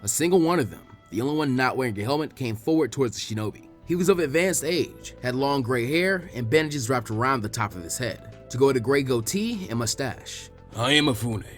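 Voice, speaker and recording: A man's voice: mid-range voice, expressive, brisk, few pauses, soft background music. A man reading scripted fan fiction aloud as its narrator.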